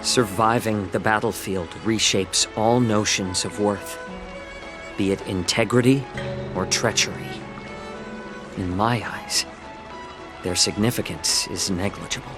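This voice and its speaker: An actor playing a polite, shrewd man with veiled cynicism, speaking in a measured, understated way in a video game. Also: on the low side, over music, natural voice. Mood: cool, cynical. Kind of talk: English video game voice acting.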